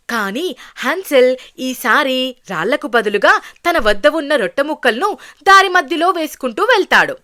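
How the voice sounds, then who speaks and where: A woman's voice: high-pitched, a bit bright. A woman narrating a scripted fairy tale for children as a storyteller.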